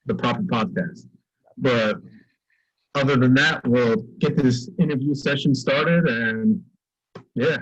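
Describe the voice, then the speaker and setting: A man co-hosting a casual podcast, talking in a relaxed, easygoing way and saying "yeah" a lot. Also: mid-range voice, slightly rough, dry recording, highs cut off. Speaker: podcast co-host. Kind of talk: casual interview chat.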